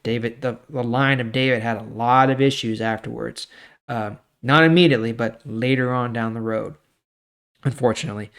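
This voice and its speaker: A man's voice: mid-range voice, fairly even intonation, normal speed, a bit bright, clear, resonant tone. A man hosting a scripture reading with informal, plain-spoken commentary. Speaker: host reading and explaining scripture.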